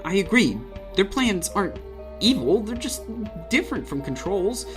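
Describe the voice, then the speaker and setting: A man's voice: high-pitched, sing-song, normal speed. Man acting a nervy, stammering young genius double agent. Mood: earnest, explaining. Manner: stammering, slangy. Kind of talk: audio drama acting. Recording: dramatic music behind the voice.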